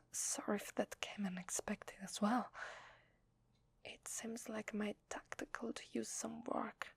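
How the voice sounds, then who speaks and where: A woman with an Italian accent playing a gentle, apologetic vampire in an ASMR roleplay, whispering softly and hesitantly close to the microphone. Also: medium pitch, steady tone, takes it slowly, full character voice. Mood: shy, confessional. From Italy. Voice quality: soft breathy whisper. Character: gentle, apologetic female vampire confiding in a human. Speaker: ASMR voice actor. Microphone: close microphone, dry.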